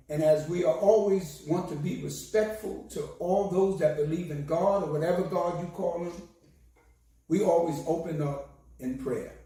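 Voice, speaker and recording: A hoarse man, a minister, giving a slow and solemn sermon with a recited prayer. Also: fairly high pitch, dark tone. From United States. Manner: slow, measured, solemn delivery. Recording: very echoey, distant from the mic.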